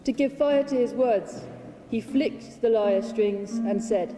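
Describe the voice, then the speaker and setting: A woman giving a dramatic poetry reading, measured and deliberate, with weighted pauses. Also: fairly high pitch. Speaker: reader of own poetry translation. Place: large hall at a public reading. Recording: reverberant hall with plucked guitar music. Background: plucked string music.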